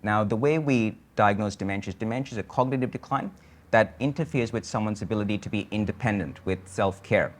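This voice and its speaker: A man giving a conference lecture on a medical topic, explaining in a measured way with frequent filler sounds. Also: lowish voice.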